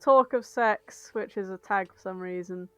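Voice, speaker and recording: Woman on a comedy podcast, mid pitched voice, wry and irreverent, no room echo. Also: normal speed. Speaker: podcast co-host. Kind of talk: casual comedy podcast chat.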